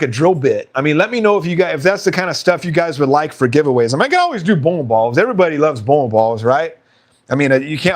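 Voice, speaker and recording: A man with a fairly high voice hosts a relaxed live stream. He talks casually and reads out viewer comments, laughing often. His voice sounds slightly hoarse.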